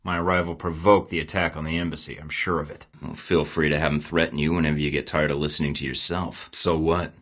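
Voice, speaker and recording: A young male secret agent in a studio video game, speaking in a bass-deep register. He stays dry, wry and unbothered, and tosses off sarcastic quips. The delivery is clean studio game dialogue. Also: level tone, fast talker, few pauses, a bit bright. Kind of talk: game voice lines.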